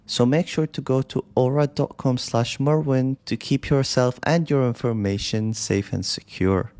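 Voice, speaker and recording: A man's voice: mid-range voice, normal speed, darkish tone. A man presenting a scripted narration as the host of a video. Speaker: host of scam-exposing video channel.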